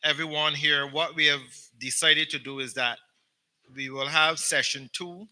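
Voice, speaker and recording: A man's voice: fairly high pitch, steady tone, unhurried, bright, forceful tone, low-quality recording. A man moderating a business forum panel, introducing speakers with many ums and repeated words. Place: conference hall.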